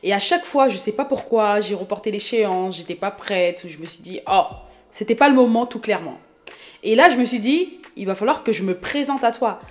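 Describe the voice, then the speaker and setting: A woman's voice: mid-range voice, expressive, fairly quick, darkish tone. A woman in her thirties from Haiti, chatting casually and self-mockingly to her viewers as she introduces herself. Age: 30s. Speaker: YouTube vlogger.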